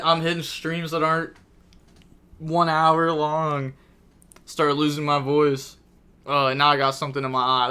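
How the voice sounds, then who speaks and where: Bright-voiced young male streamer. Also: a little high, clean tone. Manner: chatty, rambling, thinks aloud with many fillers. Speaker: streamer commentating a card game tournament. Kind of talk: casual live-stream commentary.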